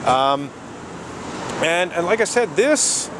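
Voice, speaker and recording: A man with a fairly high, animated voice argues with insistence on a busy street, and often repeats himself to hold his ground. Traffic and city noise run clearly behind him in the outdoor recording. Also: slow pace. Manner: argumentative, insistent, laughs between points. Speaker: street protester. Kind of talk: heated street argument.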